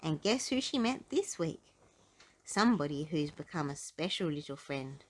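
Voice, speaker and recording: Female storybook reader, slightly low register, highly animated delivery for young listeners. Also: normal speed. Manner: dramatic, animated delivery with emphasis. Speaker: storybook narrator. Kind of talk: expressive read-aloud children's storytelling.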